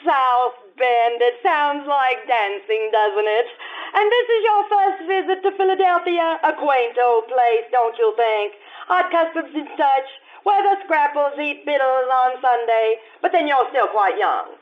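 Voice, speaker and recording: A woman's voice: sits high, animated, measured pace. A woman playing a haughty young society bride, tinny sound. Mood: gushing, airy. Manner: gushing, mock-polite, airy. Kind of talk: old-time radio stage acting. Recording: tinny phone-like radio-play recording.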